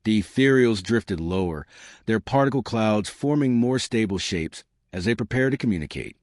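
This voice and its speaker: A man's voice: lowish voice, animated, normal speed, a little mellow, no room sound, clean studio sound. A man reading a scripted science fiction story as an audiobook-style narrator.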